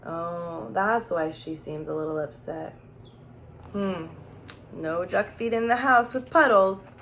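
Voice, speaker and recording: A woman's voice: on the low side, slow, a bit bright, a little hiss or hum. A woman teacher reading a children's storybook aloud, expressively, with questions and asides addressed straight to young listeners.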